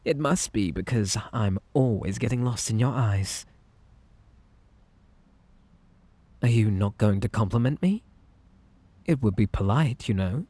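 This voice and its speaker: A man playing a possessive, unhinged magical bunny boy in an ASMR roleplay, with a British accent, a breathy voice and a theatrically polite, coaxing, menacing manner. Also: on the low side, expressive, normal speed, mellow, dry recording. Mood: sweetly menacing.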